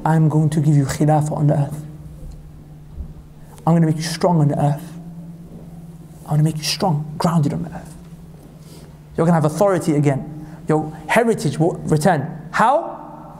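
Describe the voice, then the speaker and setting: A man from Pakistan delivering an impassioned sermon as a preacher, reciting scripture quickly and climbing to high emphatic peaks. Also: a little mellow, slight background noise. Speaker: Islamic preacher.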